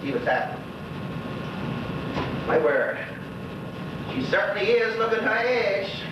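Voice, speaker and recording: Man acting a high-voiced, chatty old Bermudian widower. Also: sing-song, measured pace. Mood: amazed. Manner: rambling, exclaiming, talking to unseen listeners. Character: lonely old widower, chatty, gossipy, folksy. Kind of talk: stage monologue in dialect. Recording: very echoey stage, distant mic, noisy, dull.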